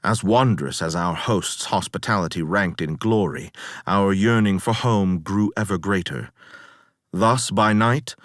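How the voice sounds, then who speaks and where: A weary, proud hero of ancient Greece, performed by a man, tells his tale in a deep voice and slow, deliberate phrases. The delivery is grave and theatrical, declaiming like epic poetry, in a dry, close recording. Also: no room sound. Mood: wistful, awed. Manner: grave, declamatory, deliberate storytelling with dramatic swells. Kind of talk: theatrical dramatic poetry reading.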